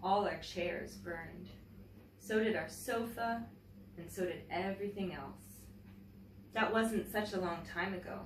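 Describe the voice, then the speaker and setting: A woman teacher reading a picture book aloud to young children, with expressive, deliberate phrasing and chatty asides to her listeners. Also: fairly low pitch. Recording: roomy, distant mic, faint background noise.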